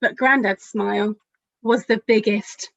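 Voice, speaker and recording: A woman's voice: mid-range voice, steady tone, measured pace, vocal fry, a bit bright, no room sound, muffled recording. A woman children's author reading a picture book aloud expressively, speaking directly to young listeners.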